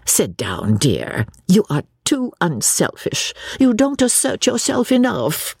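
A woman's voice: fairly low pitch, wildly swooping pitch, slow, gravelly, a little mellow, slightly rough, dry recording, studio quality, voice pushed up, heavily acted. A woman voicing a prim, fussy, self-sacrificing older English spinster in an audiobook, with a fretful, politely martyred manner. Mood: martyred fussing. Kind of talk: audiobook character voice.

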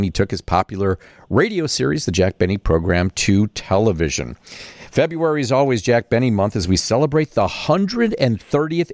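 A man's voice: on the low side, dry recording, studio quality. A man hosting a radio interview, moving between scripted introduction and conversational questions. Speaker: radio host.

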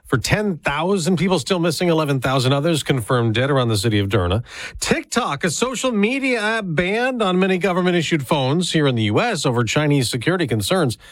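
A man reading radio news headlines in a crisp, measured way. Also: fairly high pitch, very animated, dry recording.

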